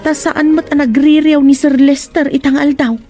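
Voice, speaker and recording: A woman's voice: sits high, level tone, normal speed, mellow, soft background music, natural voice. A woman acting in a radio drama as a curious, skeptical household worker with a gossipy manner. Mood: curious, doubtful.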